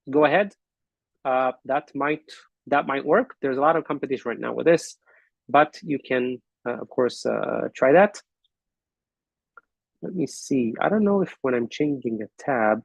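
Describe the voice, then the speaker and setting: Host, male, gravelly, hesitant and thinking aloud, dry close video-call sound. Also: medium pitch, no echo, low-quality recording. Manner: halting, thinking aloud with fillers and restarts. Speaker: host of an online business forum. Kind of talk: casual screen-share walkthrough.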